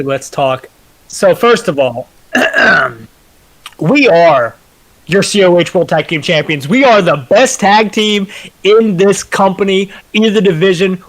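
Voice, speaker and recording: A man with a fairly high voice hosts a wrestling promo in a brash, showy manner. He boasts as a tag team champion and plays to the crowd. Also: rough recording. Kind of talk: hyped wrestling promo talk.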